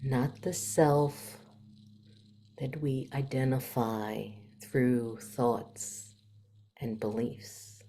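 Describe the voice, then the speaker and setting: A woman leading a guided meditation in a soft, gentle manner, with long pauses and some breathiness. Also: rumbling low, flat delivery.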